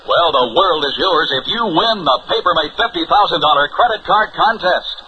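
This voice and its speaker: Very bright, punchy 1960s radio ad man. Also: a little high, expressive, moderate pace. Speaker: commercial announcer. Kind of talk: scripted 1960s radio advertisement read. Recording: dull vintage radio with faint noise.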